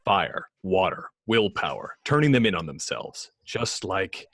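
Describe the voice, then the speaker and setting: A man playing a grim, commanding superhero vigilante in a script table read, speaking tersely and gruffly with a husky voice. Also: sits low, big pitch swings, a little slow, a bit bright, no room sound, studio quality, heavily acted. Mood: grim, brooding.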